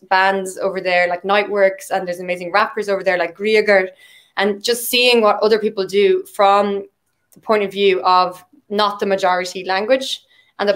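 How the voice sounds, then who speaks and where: An Irish woman, a poet, chatting in a casual interview and filling her talk with "like" and "um". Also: medium pitch. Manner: chatty, with frequent "like" and "um" fillers. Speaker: poet and guest.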